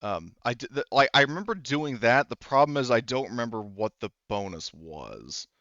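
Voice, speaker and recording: A man's voice: mid-range voice, big pitch swings, moderate pace, fairly bright tone. A man speaking casually as game master of a tabletop role-playing game, hesitant and thinking aloud. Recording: dull, roomy lo-fi voice recording.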